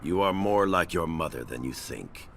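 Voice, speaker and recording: Very deep, gravelly martial arts grandmaster. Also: male voice, expressive, heavy background noise. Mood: stern, testing. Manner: formal, measured, admonishing, avoids contractions. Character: stern, ancient-sounding martial arts grandmaster, cold and measured. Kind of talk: video game cutscene acting. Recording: loud music under the voice.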